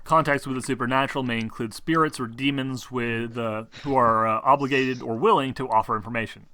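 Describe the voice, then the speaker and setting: Bright-voiced man running a tabletop game. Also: mid-range voice, fairly even intonation, brisk, no room sound. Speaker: game master of a tabletop role-playing game. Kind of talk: explaining game rules casually to players.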